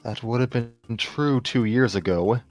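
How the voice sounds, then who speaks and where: A man's voice: medium pitch, measured pace, no room sound, faint music behind, natural voice. A man voicing a downcast, self-doubting young woman student in a story reading, hesitant and wry. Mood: subdued.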